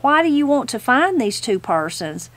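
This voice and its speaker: A woman reading the part of a brisk young male reporter, polite and inquisitive, in an audiobook story. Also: sits high, very animated, fairly bright tone, dry recording, pitched above the performer's own voice. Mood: brisk, curious. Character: brisk, courteous young newsman.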